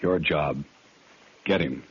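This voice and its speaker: Very deep-voiced man, old radio crime announcer. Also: slow pace, breathy edge. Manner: terse, solemn, clipped sentences. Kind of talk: 1950s radio crime drama scripted narration. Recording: muffled old radio broadcast with music.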